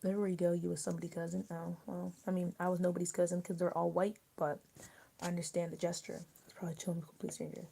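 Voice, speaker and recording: A young woman hosting a casual livestream while she eats dinner. She rambles and drops often into soft near-whispers. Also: on the low side, little pitch movement, racing, vocal fry, breathy edge. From US mid-Atlantic, rural border area. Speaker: livestream host. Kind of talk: casual chat with live viewers while eating.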